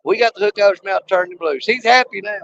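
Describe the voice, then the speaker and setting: A man hosting a fishing live stream outdoors at a small lake, hoarse and losing his voice, rambling in a folksy way straight to his viewers. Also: sits high, quick pace, fairly bright tone, muffled recording. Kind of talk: casual live-stream chat.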